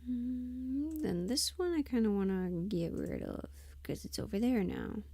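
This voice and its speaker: A woman's voice: a little high, lively intonation, measured pace, mellow, breathy edge, dry recording. A woman livestreamer thinking aloud casually as she works at her computer, absent-minded and often trailing off. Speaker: livestreamer and audio performer. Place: indoors at a computer, close dry sound. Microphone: desk microphone, sometimes spoken off-axis.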